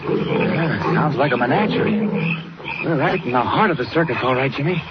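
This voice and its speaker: An actor with an American accent playing a brisk, resolute investigator hero in a 1940s radio serial, urgent and authoritative. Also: male voice, mid-range voice, animated, lightly acted. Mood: intent. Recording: muffled, very noisy old radio recording.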